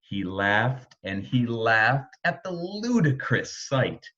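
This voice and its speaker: A man reading a rhyming children's story aloud in a rhythmic, expressive way. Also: medium pitch, slow pace, dull audio. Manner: rhythmic, animated reading of rhyme. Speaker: storybook reader.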